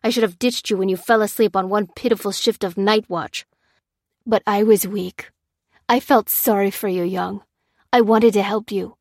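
A woman's voice: medium pitch, brisk, no echo, natural voice. A hard-bitten male soldier, as a woman narrator puts him on, growls and hurls accusations in a raised, heated voice. The sound is dry and close, with no room around it. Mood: angry ranting. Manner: growling, ranting, hurling blame. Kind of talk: audiobook character reading.

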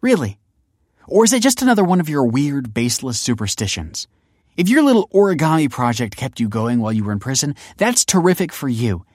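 A male narrator plays a grown daughter in an audiobook drama, exasperated and scornful. The delivery is sarcastic and impatient, lifted above the reader's own pitch. The sound is dry and close. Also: lively intonation, moderate pace, no echo, close to an everyday voice. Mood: firm, exasperated.

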